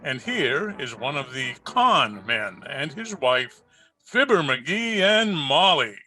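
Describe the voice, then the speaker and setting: A man's voice: on the high side, very animated, slow, bright, forceful tone, soft background music. A man working as announcer, reading scripted narration in the style of old-time radio, recorded over a video call.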